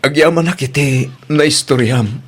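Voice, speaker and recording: A man's voice: mid-range voice, normal speed, natural voice. A man playing an earnest, hesitant young farmer in love in an Ilocano audio drama, with music behind him. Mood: flustered. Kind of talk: Ilocano radio-style drama acting.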